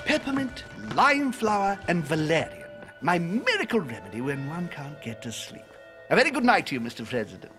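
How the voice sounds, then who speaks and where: A man acting a courteous, scheming gentleman in a video game, with a polished, insinuating and formal manner. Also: fairly high pitch, sing-song, brisk, music in the background. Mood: suavely polite.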